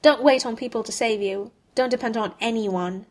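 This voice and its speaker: A woman's voice: fairly high pitch, normal speed, a little mellow, close to an everyday voice. A woman reading aloud as a proud young male wizard, with a scornful, clipped and cutting manner.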